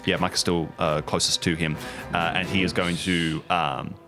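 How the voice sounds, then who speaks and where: A man narrating a tabletop game as game master, halting and dramatic as he sets the scene. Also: sits low, flat delivery. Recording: music behind the voice. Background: background music.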